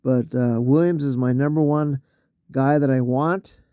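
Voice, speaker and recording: A man losing his voice, talking casually through a solo mock draft, halting with fillers and often clearing his throat. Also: mid-range voice, fairly even intonation, dark tone, dry recording, studio quality.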